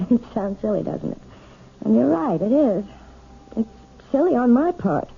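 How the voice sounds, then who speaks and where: A gentle but uneasy suburban piano teacher in a seventies radio drama. Her husky voice moves expressively and hesitantly, heard through a thin phone-like old recording. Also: fairly high pitch, sing-song, measured pace, exaggerated voice. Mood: hesitant, confiding. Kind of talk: 1970s radio drama acting.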